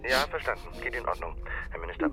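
A minister's aide in a radio play, mid voice, deferential, music behind. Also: male voice, medium pitch, very animated, quick pace. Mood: dutiful. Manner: deferential, businesslike. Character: dutiful, efficient male ministerial aide. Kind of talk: German radio drama acting.

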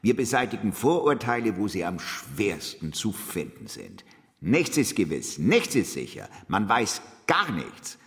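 A scornful, exuberant radical thinker in a radio play. His lowish voice is highly animated and declamatory, with long hall reverb. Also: a little slow, exaggerated voice. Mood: rousing, defiant. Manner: emphatic, declamatory. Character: combative, exuberant socialist philosopher, impatient and scornful. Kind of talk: German radio drama acting.

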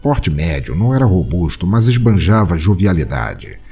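A man's voice: low voice, very animated, normal speed, vocal fry, warm tone, slightly rough. A man with a Brazilian accent narrating a comic audio drama in a theatrical, mock-literary manner. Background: music.

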